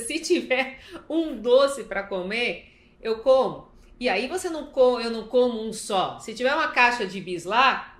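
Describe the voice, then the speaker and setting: Brazilian lady explaining stuff with her own examples, kinda high voice, lots of room echo. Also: fairly bright tone. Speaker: recovery talk speaker. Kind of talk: informal explanatory talk with personal examples. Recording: echoey, roomy sound.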